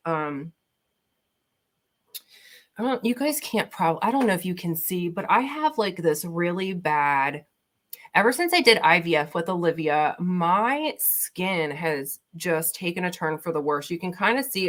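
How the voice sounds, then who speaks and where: A woman's voice: on the low side, fairly bright tone. Chatty Baltimore woman on a livestream. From Maryland, USA. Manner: chatty, rambling with fillers. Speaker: beauty vlogger. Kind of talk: casual livestream chat. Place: home, at her desk with laptop. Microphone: laptop built-in mic.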